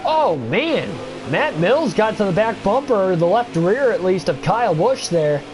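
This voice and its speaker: A man's voice: sits high, very animated, unhurried, over music, low-quality recording. A young man with a stuffy nose, casually chatting with viewers and commenting on a racing game during a livestream, rambling with many fillers. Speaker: gaming livestreamer.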